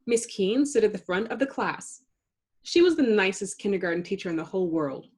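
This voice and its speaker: A woman reading a children's story aloud in an expressive narrating style, with dramatic emphasis for suspense. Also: medium pitch, a little fast. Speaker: storybook reader.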